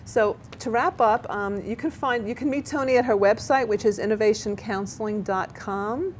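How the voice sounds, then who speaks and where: A woman's voice: a little high, normal speed, slight background noise. Chatty, stumbling woman talk show host. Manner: chatty, animated, stumbles over words. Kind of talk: casual local TV interview chat. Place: local cable TV studio.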